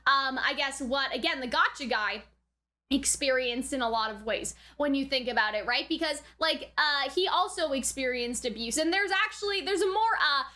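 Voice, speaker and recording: A woman's voice: high-pitched, normal speed, sharp tone. A woman hosting a casual, chatty commentary and recap, often checking in with "right?". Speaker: host of an anime reaction and review channel.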